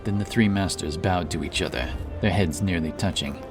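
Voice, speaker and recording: Deep male fantasy narrator over loud music. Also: moderate pace. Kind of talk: scripted fantasy audiobook narration. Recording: distant voice under loud background music.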